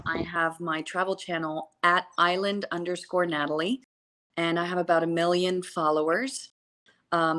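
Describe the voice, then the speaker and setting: Bright, forceful American-accented woman in interview. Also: lowish voice. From Seychelles. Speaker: podcast guest, travel journalist and social media consultant. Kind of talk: conversational interview, personal storytelling.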